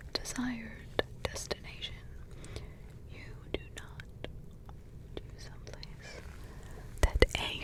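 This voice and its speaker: A woman voicing a protective witch grandmother in an ASMR roleplay, in a soft whisper with slow, rambling, repetitive asides. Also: lightly acted, rough recording. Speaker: ASMR creator.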